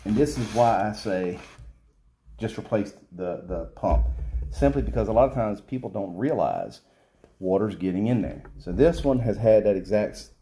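A man's voice: lowish voice, animated, moderate pace, warm tone, a little hiss or hum. A man in his workshop explaining a pump repair off camera, talking in a loose, plain way with fillers and restarts. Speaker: repair technician. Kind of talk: casual hands-on repair demo. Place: workshop, off camera behind the bench.

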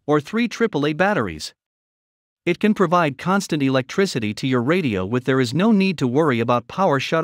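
A man delivers a scripted product review voice-over. His voice sits a little high and moves in animated swings of pitch, as he reads promotional copy. The recording is dry and close, with no room sound. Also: no echo. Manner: reading from a script.

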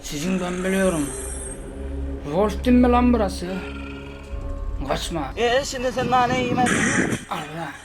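A man's voice: high voice, lively intonation, measured pace, long pauses. A man hosting a gaming video, giving casual, slangy commentary with playful exclamations and improvised role-play. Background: loud plucked-string game music.

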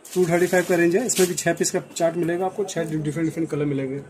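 A man with a notably high voice shows off wholesale garments. He keeps up a salesman's patter sprinkled with English words, recorded in a shop with clear background noise and clicks. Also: fairly even intonation, racing, darkish tone. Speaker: garment wholesaler presenting stock. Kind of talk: sales showcase on camera. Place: small indoor clothing shop.

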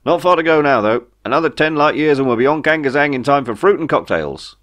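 A man's voice: a little high, expressive, quick pace, a bit bright, pitched above the performer's own voice. A man voicing a chatty, matter-of-fact alien spaceship pilot in a comic sci-fi audiobook. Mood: breezy.

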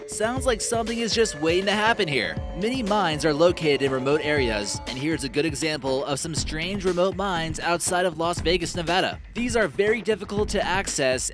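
Guy doing a top-ten style voiceover, bit high voice, music playing under it, kinda wry. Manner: casual, lightly wry asides. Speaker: narrator of a countdown video. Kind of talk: scripted narration. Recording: voice-over with background music.

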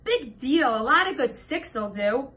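A woman's voice: high voice, animated, slow pace, fairly bright tone, exaggerated voice. A woman voicing a hesitant, sceptical young schoolboy in a storybook, awkward and grudging.

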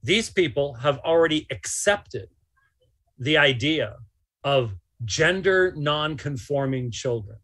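Slow, husky man, emphatic commentator. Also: a little high, animated, fairly bright tone. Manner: emphatic, incredulous, repeats phrases for stress. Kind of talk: live unscripted commentary. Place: small motorhome interior.

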